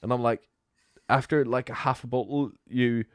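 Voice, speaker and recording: A man's voice: mid-range voice, normal speed, no echo. A man with a Norwegian accent in casual chat, ranting playfully and swearing, recovering from flu. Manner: animated, swearing, playful rants. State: sick with flu, drinking. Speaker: podcast guest.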